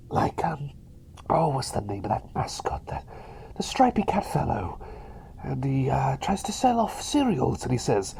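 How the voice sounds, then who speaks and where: A man playing a cheery, eccentric plague doctor in a whispered ASMR roleplay, polite, chatty and hesitant, bumbling along with excitable eagerness. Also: a little high, creaky, heavily acted. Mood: excited, eager.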